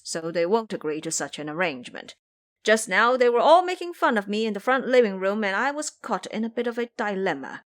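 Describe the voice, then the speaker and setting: A woman's voice: mid-range voice, fairly bright tone, lightly acted. A woman voicing a boastful, lazy, lustful male pig monster in an audio drama, pleading and bragging as he talks. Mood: amused, wheedling.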